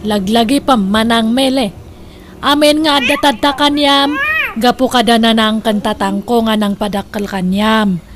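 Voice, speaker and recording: A woman's voice: a little high, fairly even intonation, measured pace, noisy background, natural voice. A woman playing a nagging, complaining relative in a comedic Ilocano radio drama, speaking with an Ilocano accent in a dramatic, grumbling way.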